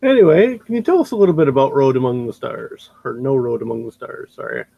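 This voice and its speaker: A man's voice: medium pitch, fast, vocal fry, slightly rough, no room sound, dull audio. A man hosting a podcast, chatting casually as he interviews a guest. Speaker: podcast host.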